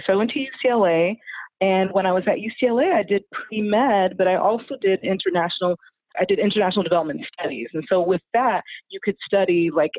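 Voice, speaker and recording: A woman's voice: fairly low pitch, dry recording. A woman in her forties, a family physician, telling her story as a podcast guest, rambling with fillers and laughing often. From United States (California). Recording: tinny phone-like line, dry.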